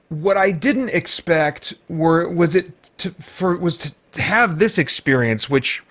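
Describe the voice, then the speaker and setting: An American man hosting an unscripted movie review in a halting way, searching for words and letting thoughts trail off. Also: fairly high pitch, a bit bright. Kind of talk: unscripted, off-the-cuff film review.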